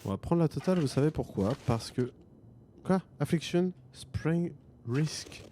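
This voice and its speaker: Chatty French gamer, mellow low voice. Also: male voice, animated, a little slow, slight background noise. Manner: joking, chatty, thinking aloud. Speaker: gaming streamer. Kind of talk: let's play commentary.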